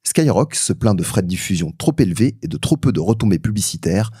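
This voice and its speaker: Deep-voiced, animated male podcast host. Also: a little fast, husky, dry recording, studio quality. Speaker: podcast host presenting news. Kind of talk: scripted podcast introduction and news narration.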